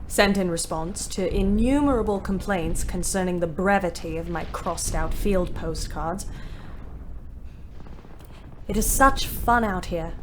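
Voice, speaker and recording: A woman's voice: fairly low pitch, natural voice. An actress playing a young ambulance driver in an audio play, narrating her war diary with weary bitterness. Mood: bitter. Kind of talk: dramatic first-person audio play narration. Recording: clear background noise.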